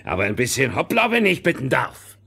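An actor playing a rough, leering, hoarse robber in a theatrical fairy-tale audio play. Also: male voice, mid-range voice, huge pitch swings, brisk, bright, forceful tone, exaggerated voice. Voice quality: hoarse, gravelly.

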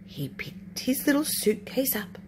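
A woman's voice: high-pitched, very animated, a little slow, darkish tone. A woman narrating a children's storybook expressively as a storytime reader. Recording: noisy home recording.

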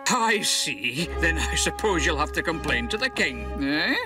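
A man voicing a patient old farmer in a cartoon, kindly but gently firm and chiding. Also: fairly high pitch, very animated, measured pace. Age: older. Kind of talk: cartoon voice acting. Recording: music under the voice.

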